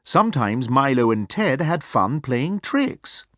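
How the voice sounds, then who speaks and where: A man narrates a children's storybook in a medium-pitched voice. His intonation is lively and expressive, with clear phrasing. The recording is close and dry, with no room around the voice. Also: slow pace, no echo. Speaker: storybook reader. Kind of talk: animated children's read-aloud narration.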